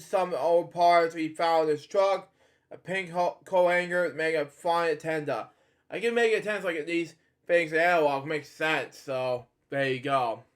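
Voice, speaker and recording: Man, high voice, reading a children's book, stumbling restarts, chatty asides. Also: a bit bright. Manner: halting, with frequent restarts and filler comments. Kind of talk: storybook reading with rambling asides.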